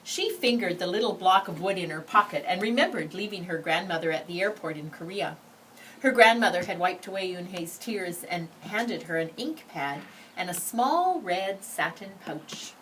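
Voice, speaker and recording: A slightly low-voiced woman reads a picture book aloud in an expressive storytelling style. She sits back from the microphone, with faint noise around her. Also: normal speed. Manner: expressive, acts out the dialogue. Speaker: story reader. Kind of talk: read-aloud of a children's picture book with brief asides. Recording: distant microphone, background noise.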